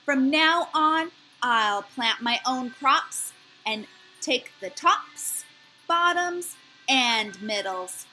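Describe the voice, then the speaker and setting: Woman as indignant male bear, storybook character, hollering, forceful, slow emphatic words. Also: sits high, expressive, soft background music, heavily acted, rough recording.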